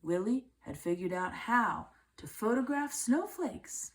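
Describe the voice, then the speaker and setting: A woman reading a children's book aloud in a measured way, with deliberate phrasing and pauses. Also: fairly high pitch, lively intonation. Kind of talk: read-aloud storybook narration.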